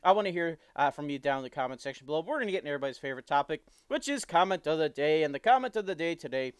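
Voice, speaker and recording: Higher-voiced man with a Newfoundland accent. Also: expressive, very fast, clean tone, no echo, clean studio sound. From Newfoundland, Canada. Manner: enthusiastic, many fillers and restarts. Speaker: host. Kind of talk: hockey analysis commentary.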